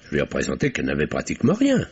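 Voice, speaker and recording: An actor playing a pompous, self-righteous middle-aged French uncle in a 1950s-style radio drama, speaking formally and defensively. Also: male voice, very deep voice, huge pitch swings, rapid-fire, husky, full character voice. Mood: indignant, haughty. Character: pompous, indignant, self-righteous older uncle under questioning. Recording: dull vintage radio recording.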